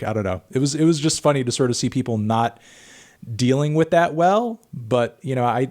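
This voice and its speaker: A man's voice: medium pitch, darkish tone. A man hosting an interview, talking casually and rambling with filler phrases. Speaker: interview host, music critic. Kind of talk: conversational interview.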